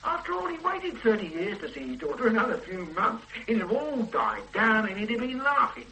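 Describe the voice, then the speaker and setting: A man's voice: high voice, sing-song, a little fast, bright, forceful tone, slight background noise, heavily acted, low-quality recording. An actor playing a stubborn, thoughtful male police detective in a radio drama, arguing his doubts about a case. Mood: musing. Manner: probing, doubtful, argumentative.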